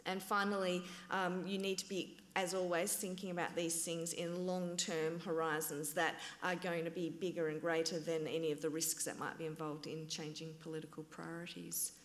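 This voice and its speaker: A woman giving a conference talk in a relaxed, hedging way, with frequent "um" and "I guess". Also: fairly low pitch, moderate pace, few pauses, echoey room, long reverb tail. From Australia. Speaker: conference presenter.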